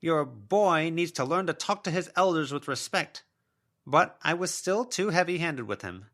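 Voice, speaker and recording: Man voicing a pleading, high-pitched older woman's ghost. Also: lively intonation, moderate pace, fairly bright tone. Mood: pleading, defensive. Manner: pleading, aggrieved, self-excusing.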